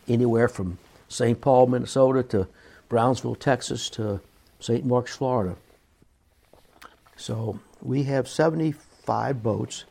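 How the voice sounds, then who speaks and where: A middle-aged man speaking in an earnest, halting, plain-spoken way, as a business owner giving sincere personal remarks. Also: medium pitch, creaky, mellow. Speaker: business owner reading staff emails and reflecting.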